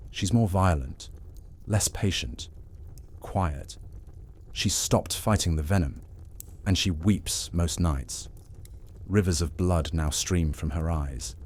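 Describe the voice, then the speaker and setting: Male actor as a low-voiced, formal Jinn vampire. Stern and reproachful. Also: darkish tone. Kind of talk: fantasy audio drama acting.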